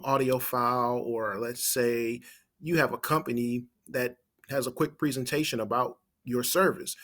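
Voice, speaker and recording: A man presenting an informal screen-share tutorial as a marketing trainer, explaining step by step and thinking aloud as he types. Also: medium pitch.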